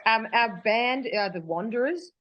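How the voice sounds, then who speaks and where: A woman's voice: a little high, animated, a little slow, dry recording, muffled recording. An Australian woman singer chatting in an interview, rambling with lots of restarts and fillers. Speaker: singer guest. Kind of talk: casual interview chat. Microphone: video call.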